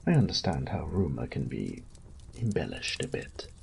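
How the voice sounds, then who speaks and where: A man's voice: very deep voice, big pitch swings, moderate pace, gravelly, slight background noise, voice pushed down, full character voice. A man voicing a courteous noble knight captain in a fantasy audio roleplay, speaking in formal, polished phrases and politely probing.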